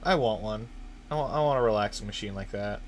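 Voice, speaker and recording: A man's voice: fairly low pitch, fast talker, slight background noise. A man streaming a game, giving casual, joking live reactions. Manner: joking, bantering.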